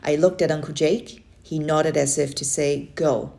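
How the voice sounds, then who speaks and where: A woman narrating a children's book aloud in an expressive storytelling style. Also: low-pitched, normal speed, gravelly. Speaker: storybook reader.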